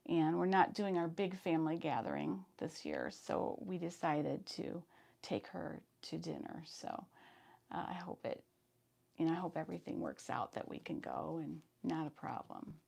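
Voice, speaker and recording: A woman in her fifties hosting a sewing live stream, chatting casually and reading viewer comments, her voice hoarse today. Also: on the low side, animated, measured pace, a little mellow. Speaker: sewing channel host.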